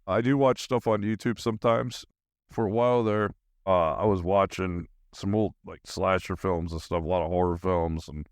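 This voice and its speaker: Clear-voiced middle-aged American man, rambling casually. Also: on the low side, no echo, studio quality. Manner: rambling, informal, slangy. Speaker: podcast host. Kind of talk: casual rambling solo podcast monologue.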